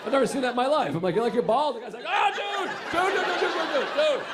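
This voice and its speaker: A man's voice: very high voice, lively intonation, quick pace. A man doing stand-up crowd work, teasing the audience and laughing through his lines on a club stage. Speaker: stand-up comedian. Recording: echoey club with loud crowd noise.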